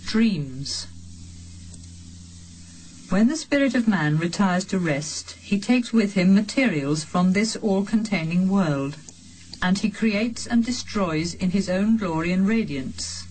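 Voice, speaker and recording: A woman reading a sacred text as a solemn audiobook, with measured, deliberate phrasing and pauses between phrases. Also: mid-range voice, expressive, darkish tone, a little hiss or hum, low-quality recording. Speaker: reader of a sacred text translation.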